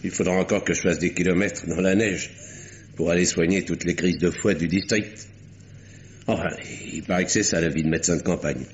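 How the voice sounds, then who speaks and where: An actor playing a shrewd, firm country doctor in a vintage radio drama, questioning insistently. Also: male voice, low voice, steady tone, fairly quick, slight background noise, lightly acted. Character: shrewd country doctor, middle-aged man, probing and firm. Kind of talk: 1950s French radio drama acting. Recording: dull old radio recording.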